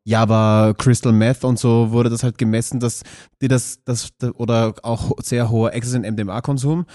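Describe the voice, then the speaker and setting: A man's voice: medium pitch, steady tone, moderate pace, warm tone, no echo, clean studio sound. A man with an Austrian accent chatting casually as a podcast co-host, blunt and slangy in the way he talks. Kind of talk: casual chat.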